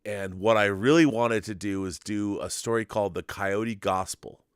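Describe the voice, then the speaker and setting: A man's voice: lowish voice, normal speed, clean tone, no room sound, studio quality. A man hosting a casual live stream, chatting in an enthusiastic, rambling way and reading out viewer messages.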